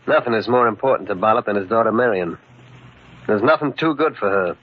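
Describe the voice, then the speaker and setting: An actor playing a tough, calmly menacing gangster henchman with an American accent and blunt, slangy talk, in an old-time radio crime drama. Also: lowish voice, a little fast, husky. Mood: knowing, threatening. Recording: muffled, dull old radio recording.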